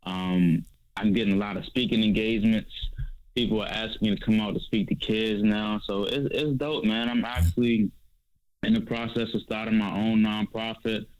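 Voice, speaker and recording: Low-voiced DC guy chilling on a podcast, kinda muffled lo-fi sound. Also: fairly even intonation, normal speed, a little mellow. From Northeast Washington, D.C. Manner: laid-back, says "man" a lot. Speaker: podcast guest. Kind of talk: casual podcast chat.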